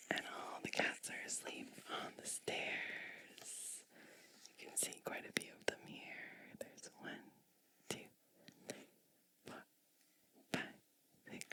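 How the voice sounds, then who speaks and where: A woman whispering a bedtime storybook in an ASMR style, gentle and unhurried, close to the microphone. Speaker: ASMR host reading a storybook aloud.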